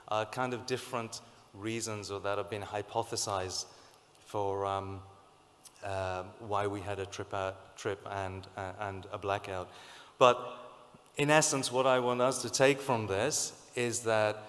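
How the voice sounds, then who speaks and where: Croaky-voiced man in a reverberant hall. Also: on the low side, a bit bright. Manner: halting, many fillers and restarts. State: sore throat, croaky. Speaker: conference speaker. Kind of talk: technical presentation. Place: conference hall.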